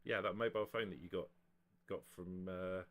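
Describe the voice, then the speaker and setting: Man running a tabletop game, halting delivery. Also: deep voice, fairly even intonation, a crowd in the background. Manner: halting, repeats himself. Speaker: game master. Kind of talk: improvised tabletop game narration and rulings.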